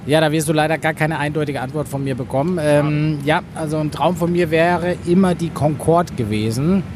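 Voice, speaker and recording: A man's voice: a little high, moderate pace. A man from Bavaria, an airline pilot, answering questions in a casual interview. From Bavaria, Germany. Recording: clear vehicle-like ambient noise.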